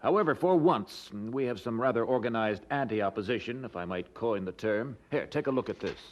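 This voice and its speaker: An actor playing a courteous, supportive colonial gentleman in an audio drama, polite and formally conversational. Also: mid-range voice, animated, fairly quick, muffled recording, slight background noise, close to an everyday voice. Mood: concerned, frank.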